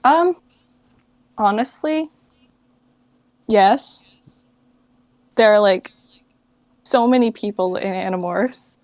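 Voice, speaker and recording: A woman's voice: mid-range voice, lively intonation, slow pace, long pauses, darkish tone, no room sound. An American woman giving an interview as a guest, chatting informally and thinking aloud. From United States. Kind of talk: casual chat.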